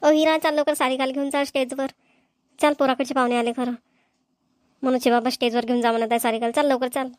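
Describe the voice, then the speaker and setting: A woman acting as a talkative cartoon village woman with a Vidarbha Marathi accent. Her voice sits high and rattles along quickly, full of folksy family chatter, in a dry, close sound. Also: flat delivery, no room sound, lightly acted. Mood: bustling. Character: chatty village women gossiping at a family engagement. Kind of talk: amateur cartoon dialogue acting.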